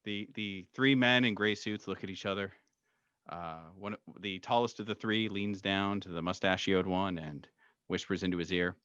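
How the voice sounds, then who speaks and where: A man narrating a tabletop horror game as game master, halting and descriptive with frequent pauses. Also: deep voice, steady tone, a bit bright, smooth, no room sound, lo-fi sound. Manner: halting, full of fillers, descriptive.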